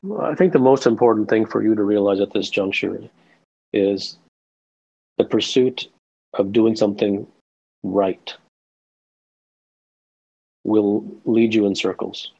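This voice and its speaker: A man who answers questions bluntly and deliberately, his low voice gravelly. The audio is dull and lo-fi. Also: darkish tone. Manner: blunt, measured, slow and deliberate. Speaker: guest answering audience questions. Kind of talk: terse question-and-response.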